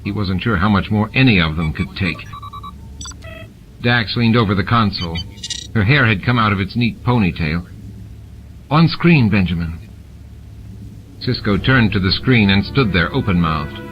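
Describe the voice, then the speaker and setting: A man's voice: on the low side, normal speed, lo-fi sound, slight background noise. A man reading dramatic fiction as an audiobook narrator, with music and sound effects added behind him.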